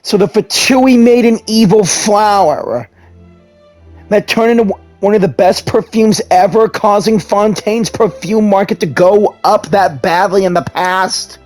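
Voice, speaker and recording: A man's voice: sits high, measured pace, a little mellow, faint music behind. A man casually reading game dialogue aloud, slipping in slangy asides.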